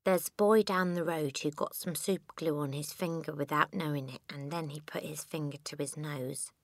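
A woman voicing a little English girl, calm, soft and innocent-sounding but quietly cheeky, in an audiobook. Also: sits low, normal speed, no echo. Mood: matter-of-fact. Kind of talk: dramatised audiobook character.

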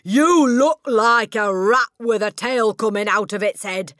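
A woman voicing a huge, brutal headmistress who barks and shouts at children. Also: lowish voice, measured pace, no room sound, clean studio sound, natural voice. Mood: furious. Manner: shouting, barking.

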